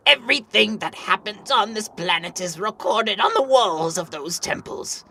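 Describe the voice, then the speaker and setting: Man playing a high, gravelly, solemn guardian. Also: animated, normal speed, pushed and bright, exaggerated voice. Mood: explaining gravely. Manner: grave, declaiming. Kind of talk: animated fantasy drama.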